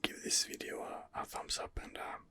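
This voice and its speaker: A man giving ASMR game commentary in a breathy, husky whisper, hesitant and rambling as he muses his way along. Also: moderate pace, pushed and bright. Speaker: video game streamer, channel host.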